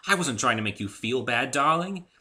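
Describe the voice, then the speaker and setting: A man's voice: mid-range voice, expressive, moderate pace, bright, forceful tone, pitched above the performer's own voice. A man voicing an elegant, fussy female unicorn fashionista with a refined English accent, dramatic and gushing, in a story reading. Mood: hurried, reassuring. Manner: dramatic, effusive, addresses people as darling.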